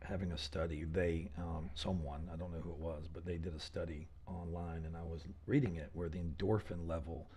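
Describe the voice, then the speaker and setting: A man hosting a casual live-stream interview, enthusiastic and loose, cursing now and then as he thinks out loud. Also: low voice, fairly even intonation, fairly quick, few pauses, slight background noise. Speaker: host.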